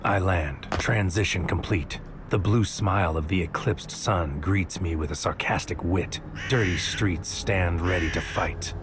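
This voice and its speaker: A man's voice: low-pitched, measured pace, few pauses, over music. An actor playing a bitter, world-weary operative who narrates his thoughts in clipped, poetic lines for an audio drama. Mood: poetic, bleak.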